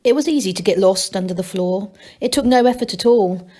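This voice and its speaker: A woman reading a children's novel aloud as a storyteller, in expressive scripted narration. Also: medium pitch, a little fast.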